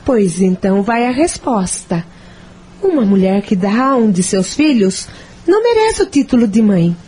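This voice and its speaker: A woman's voice: medium pitch, dark tone, breathy edge. A woman acting a frank working mother in an audio novel, with a firm, correcting manner. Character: working mother, girlfriend, frank and easily offended.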